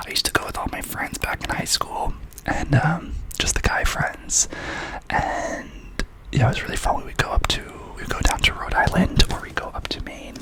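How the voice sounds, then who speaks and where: A young man from Massachusetts whispers close and soft through a loose, wandering ASMR ramble. His hushed voice still rises and falls a lot, and he keeps circling back with fillers and repeated words. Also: mid-range voice, creaky. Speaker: ASMR channel host.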